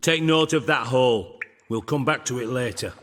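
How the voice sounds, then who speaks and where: An actor playing a menacing, blunt underworld boss with dry sarcasm in a video game. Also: male voice, mid-range voice, normal speed, fairly bright tone, long reverb tail, lightly acted. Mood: businesslike. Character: menacing, sardonic, foul-mouthed male underworld crime boss.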